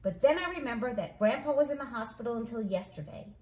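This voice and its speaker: A woman with a fairly high, very lively voice reads a children's storybook aloud. She narrates expressively, like a read-along host, and the room around her is echoey. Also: quick pace. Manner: animated, acts out dialogue.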